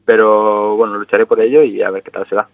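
A man's voice: lowish voice, little pitch movement, fast, creaky, no room sound. A young man from Galicia, a trial rider, calling in by phone to a radio show and talking in an easygoing way. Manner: easygoing, laughs readily. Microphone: phone line. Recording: dry tinny phone line.

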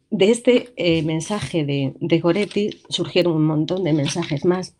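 A woman's voice: fairly low pitch, darkish tone. Tearful woman with a trembling voice. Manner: halting, many restarts, nervous laughs. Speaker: radio show voice-over announcer. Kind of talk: emotional tribute on live radio.

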